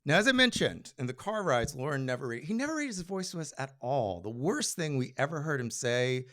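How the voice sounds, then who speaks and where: A man telling a personal story on stage in an animated, conversational way with comic timing. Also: a little high, a little fast. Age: adult.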